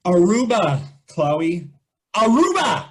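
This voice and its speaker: Man as exasperated amateur stage director, high and animated, farcical web comedy. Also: takes it slowly, slight background noise, full character voice. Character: exasperated, excitable male amateur theater director.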